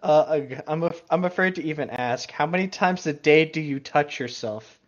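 A man's voice: fairly high pitch, normal speed, highs cut off. A man in a casual joking chat, a wisecracking co-host who swears freely.

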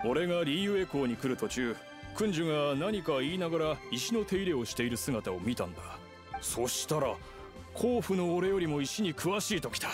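Hearty middle-aged miner, frank, colloquial, slightly high male pitch, anime game, faint music. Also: very animated, normal speed. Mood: casual, frank. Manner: frank, rough colloquial phrasing.

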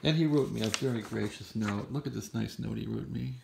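An American man, middle-aged or older, giving a casual, rambling, unscripted show-and-tell in a husky, gravelly, musing voice. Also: on the low side, a little fast, darkish tone. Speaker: vlogger showing a vintage radio. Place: bedroom in a house. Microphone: handheld camera, close behind it.

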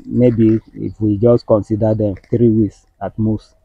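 A middle-aged man with a Nigerian accent, patiently explaining farm work outdoors with halting speech and many fillers. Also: fairly low pitch, little pitch movement, vocal fry, breathy edge, no room sound. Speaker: farm worker. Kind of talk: explaining farm practice on a walking tour. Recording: dull lo-fi sound.

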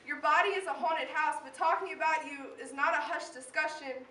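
A young woman performing spoken word poetry with solemn, impassioned, measured phrasing. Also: sits high, steady tone, bright, forceful tone. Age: teen or young adult. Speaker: slam poet. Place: live poetry event in a room. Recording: echoey room, distant mic, faint noise.

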